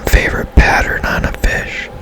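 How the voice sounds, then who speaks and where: A man whispering casual, unhurried ASMR commentary as he plays a video game. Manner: whispering throughout. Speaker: gaming streamer.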